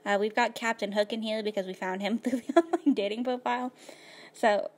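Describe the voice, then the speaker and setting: A woman with a slightly hoarse voice, casually narrating her life-simulation gameplay at a computer, rambling with lots of fillers. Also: a little high, steady tone, fairly quick. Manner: rambling, filler-heavy, laid-back. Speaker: gaming video host.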